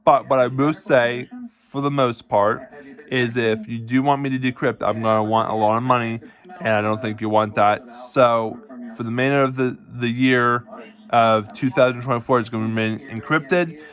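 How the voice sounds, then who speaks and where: A man talking casually to camera in a halting, rambling way, often restating himself as he explains things. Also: medium pitch, steady tone, fairly bright tone. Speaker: self-described police department chief recording a vlog. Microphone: phone.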